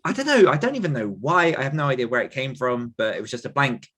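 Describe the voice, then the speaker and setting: A man's voice: medium pitch, sing-song, fast, a bit bright. A man in his thirties chatting casually and reflectively on a podcast, rambling and self-deprecating in manner. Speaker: podcast host and author telling his own story.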